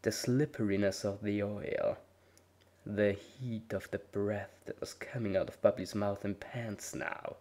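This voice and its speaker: Male narrator, lower voice, steady dramatic storytelling. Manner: measured storytelling with voiced dialogue. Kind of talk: dramatic reading of fan fiction.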